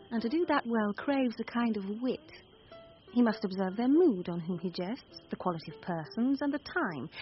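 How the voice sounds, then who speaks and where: A woman playing a courtly, high-voiced page. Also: close to an everyday voice. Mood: reflective. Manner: poised, courtly, articulate. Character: young woman disguised as a courteous, quick-witted page. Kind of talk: Shakespearean radio drama acting. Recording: dull radio recording, faint noise.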